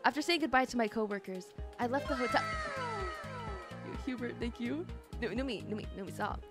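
A woman's voice: a little high, fairly quick. A tired woman hosting a livestream, chatting casually and reading a visual novel aloud, pleading and exasperated. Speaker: streamer host. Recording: music playing behind the voice.

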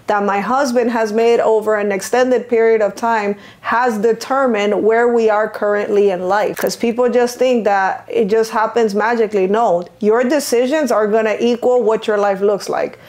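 Casting note: middle-aged woman, fairly high voice, earnest, casual co-host. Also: fairly even intonation, moderate pace, hardly any pauses, a little mellow. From Venezuela. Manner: frequent "yeah" and "mm-hmm" backchannels, earnest storytelling. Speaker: podcast co-host. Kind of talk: casual chat.

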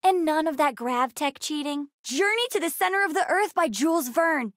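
Space-game kid: high-pitched, earnest, inquisitive girl with a cheeky streak, recorded dry. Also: animated, normal speed, fairly bright tone, dry recording. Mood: curious, chatty. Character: bright, curious, bookish young girl aboard a spaceship. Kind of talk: video game character voice lines.

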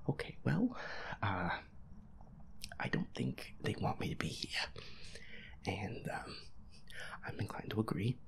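Horror game player, whispery and gravelly, nervous muttered commentary, hesitant and sweary. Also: sits low. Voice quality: hushed, whispery, breathy. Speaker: gamer playing a ghost-hunting game.

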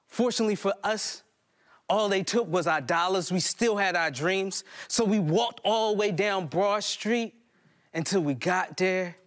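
Male spoken-word poet, thirties, high-pitched voice, emphatic and rhythmic stage delivery with dramatic pauses. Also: fairly bright tone. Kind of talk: theatrical spoken-word poetry monologue. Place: live stage in a plain hall before a small seated audience.

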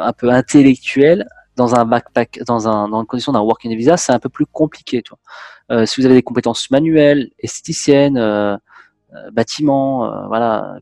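A man's voice: medium pitch, gravelly, darkish tone. A man in his thirties hosting an interview over a video call, chatty and direct as he turns to the viewers. His headset mic gives a dry, close sound with no room echo. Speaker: host. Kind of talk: conversational interview. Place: indoor room.

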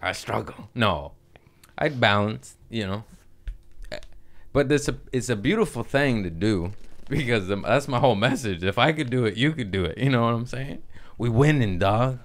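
A man's voice: mid-range voice, expressive, normal speed. A man in his thirties hosting a casual comedy podcast, swearing freely and wandering into goofy asides, speaking into a studio mic. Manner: profane, rambling, goofy asides. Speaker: podcast host. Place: studio set with hedge wall. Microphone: studio mic on boom arm, headphones.

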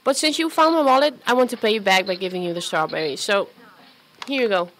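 A woman's voice: medium pitch, quick pace, a bit bright, natural voice, low-quality recording. A woman playing a friendly, chatty, self-doubting young woman in an amateur radio play, with a hesitant, natural delivery. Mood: shy, friendly.

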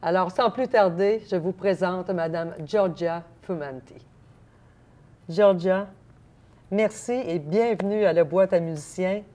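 A woman's voice: lowish voice, a little slow, mellow. A woman in her fifties with a Quebec French accent, hosting and conducting a sit-down interview. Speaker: interviewer, host. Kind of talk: presenting and interviewing. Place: empty small theatre hall, indoors.

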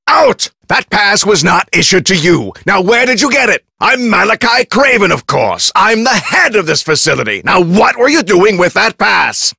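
An actor playing an irascible, self-important middle-aged director of a research facility in a video game, with a pompous, exacting, clipped delivery. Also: male voice, sits high, gravelly, bright, forceful tone, husky, no room sound, studio quality, exaggerated voice. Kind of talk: video game character acting.

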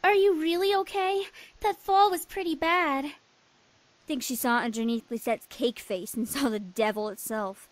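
A woman voicing a lively, teasing teenage schoolgirl in a visual novel. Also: high-pitched, normal speed, lightly acted. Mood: concerned, teasing.

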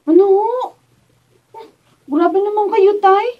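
A woman's voice: very high voice, slow. A woman with a Filipino accent playing an embarrassed, protesting young daughter in a radio drama. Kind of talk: Tagalog radio drama acting. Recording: dull studio camera audio.

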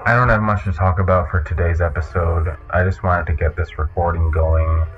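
A man with a very low voice hosts a casual comedy podcast. His delivery is deadpan and hesitant, and the sound is slightly dull, with music in the background. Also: normal speed. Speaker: podcast host.

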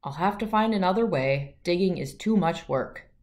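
A woman's voice: on the low side, expressive, moderate pace, a little mellow, natural voice. A woman voicing a boastful, dreamy, lazy male gnu in a children's storybook, with a dismissive air. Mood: weary.

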